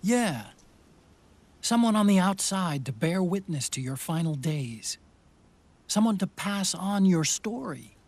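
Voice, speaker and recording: An actor playing a loyal, gentle friend in a game cutscene, speaking earnestly and tenderly. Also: male voice, high voice, expressive, takes it slowly, full character voice. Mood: tender, wistful. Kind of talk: dramatic video game cutscene acting.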